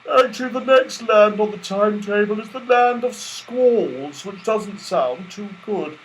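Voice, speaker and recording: Guy doing a sweet gushy toy rabbit for a kids book, high voice, kinda slow, excitable. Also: a little hiss or hum, poor audio. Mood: eager, helpful. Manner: gushing, hesitant, exclamatory. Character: excitable, kindly, sentimental male toy rabbit. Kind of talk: children's storybook character voice.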